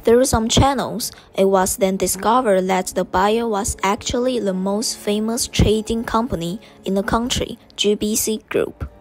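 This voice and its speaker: A woman's voice: medium pitch, measured pace, few pauses. A woman with a Taiwanese accent reading scripted narration for a student English radio drama. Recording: narration over clear background music.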